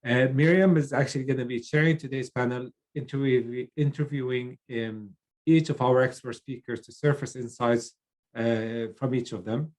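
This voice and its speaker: A middle-aged man from Lebanon, a program manager opening a webinar from a child's bedroom on a video call, talking haltingly with many fillers and restarts. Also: mid-range voice, a little mellow.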